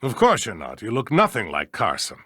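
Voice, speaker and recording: Older male judge, American accent, pompous, blustery, very animated intonation, dry studio sound, video game character. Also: mid-range voice, normal speed, no room sound, heavily acted. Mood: chatty, affable. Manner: blustery, theatrical, throat-clearing, self-important.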